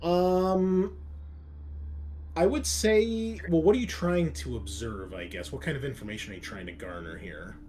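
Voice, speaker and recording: A man narrating a tabletop role-playing game as game master in a halting, conversational way. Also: fairly high pitch, darkish tone, a little hiss or hum. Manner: conversational, halting with frequent 'like'.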